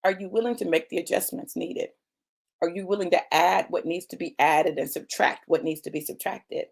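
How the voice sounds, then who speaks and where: A woman's voice: fairly low pitch, fairly even intonation, moderate pace, gravelly. Emphatic woman preacher in her fifties. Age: 50s. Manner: emphatic, repeats phrases, calls listeners y'all and baby. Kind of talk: motivational sermon.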